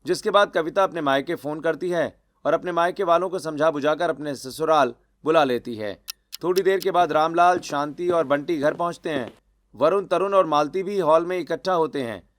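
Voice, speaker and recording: A man's voice: fairly high pitch, moderate pace, no echo. A man narrating a scripted cartoon family story.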